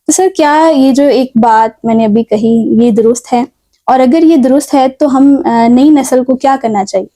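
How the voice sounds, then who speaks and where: A woman's voice: high-pitched, dark tone. A woman with a Pakistani accent hosting a formal talk show interview in a polite, deferential manner.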